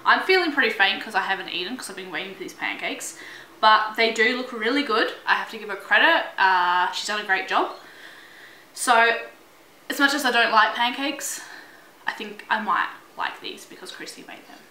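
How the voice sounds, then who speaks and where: Bright-voiced young woman, echoey room. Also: medium pitch, expressive, moderate pace. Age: 20s. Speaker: taste tester. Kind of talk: casual on-camera verdict. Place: home kitchen, indoors. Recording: echoey kitchen with music behind.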